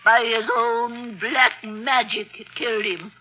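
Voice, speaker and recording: A woman's voice: on the high side, steady tone, slow, soft background music. A woman playing a shrewd elderly spinster who patiently and precisely explains a crime in a 1940s radio mystery. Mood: grave, certain. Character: shrewd, composed elderly spinster sleuth, retired physics teacher. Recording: muffled old-time radio recording.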